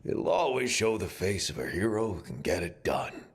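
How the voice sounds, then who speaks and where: An actor playing a confident, kindly young assassin mage in a fantasy video game, with a wry, self-assured manner. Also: male voice, sits low, sing-song, normal speed. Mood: proud, wry. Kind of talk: video game dialogue and reflective monologue. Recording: game music behind the voice.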